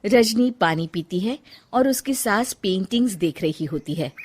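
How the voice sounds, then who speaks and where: A female narrator tells a scripted story. Her pitch sits in the middle range for a woman, and her intonation moves around in an animated way. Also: measured pace, slightly rough.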